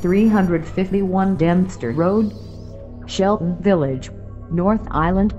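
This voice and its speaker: An actress playing an impersonal announcer, reading out places and times in clipped phrases. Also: female voice, lowish voice, slow, warm tone, highs cut off, faint music behind.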